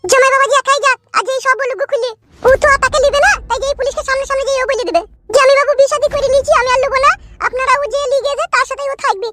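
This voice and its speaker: A woman's voice: extremely high pitch, fairly even intonation, very fast, few pauses, pushed and bright. A performer voicing a bossy, scheming older village aunt in a cartoon who lectures her son-in-law. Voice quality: bossy, scolding.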